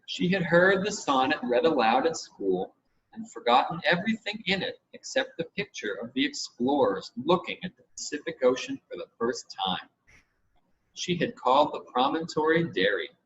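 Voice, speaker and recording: A man reading a children's adventure story aloud in expressive scripted narration. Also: on the high side, normal speed. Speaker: audiobook reader. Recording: dull, lo-fi with audible background noise.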